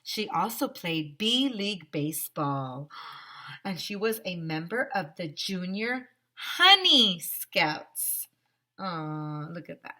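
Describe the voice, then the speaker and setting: Slow, bright-voiced woman reading to children. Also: on the low side. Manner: expressive, exaggerated emphasis, addresses children directly. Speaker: teacher reading a picture book aloud to children. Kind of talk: animated storybook read-aloud with asides and questions to young listeners.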